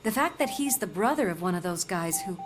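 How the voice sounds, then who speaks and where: A woman's voice: mid-range voice, expressive, brisk, music in the background, lightly acted. Casting: concerned police partner in a game, female actor, mid-range voice, sincere and conversational. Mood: concerned, earnest. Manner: earnest, confiding, conversational. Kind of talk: video game cutscene acting.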